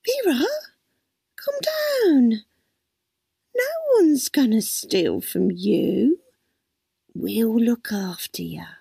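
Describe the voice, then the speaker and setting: Sly young carer, read by a woman, high and sing-song, sugary coaxing. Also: very animated, unhurried, darkish tone, no room sound, clean studio sound, full character voice. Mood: alarmed.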